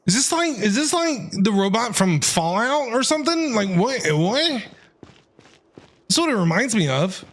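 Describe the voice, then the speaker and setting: A woman's voice: a little high, very animated, moderate pace. A woman streaming a game with casual, chatty live reactions, talking back to the characters over faint game music.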